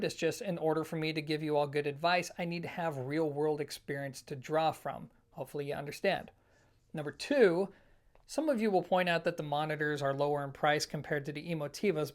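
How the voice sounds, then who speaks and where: A reviewer presenting an informal product comparison, explaining things conversationally and speaking directly to viewers. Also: medium pitch. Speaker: host of an audio equipment review channel.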